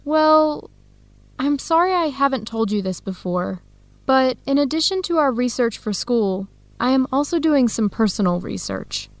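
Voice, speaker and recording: An actress playing an eager, earnest young Ethiopian-American student researcher in a radio drama for English learners, speaking clearly and in measured phrases. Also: female voice, high-pitched, lively intonation, dry recording. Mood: apologetic, earnest. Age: young adult.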